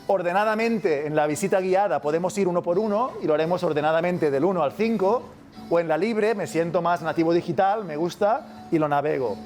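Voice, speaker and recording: A man's voice: high-pitched, a little fast, a little mellow, soft background music. A man from Spain with a Spanish accent giving a casual university masterclass, constantly checking in with his audience. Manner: casual, keeps checking with "¿vale?". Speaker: university lecturer. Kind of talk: masterclass lecture.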